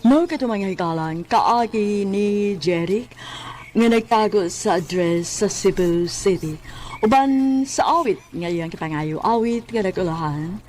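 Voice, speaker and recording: A woman with a Cebuano Filipino accent reading scripted radio drama announcements in a measured, deliberate way. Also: medium pitch, lively intonation, a little mellow, a little hiss or hum. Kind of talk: scripted closing narration.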